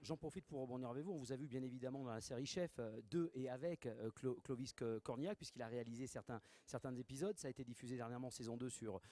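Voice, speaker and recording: A man interviewing two actors at a festival, asking brisk, leading questions. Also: mid-range voice, warm tone.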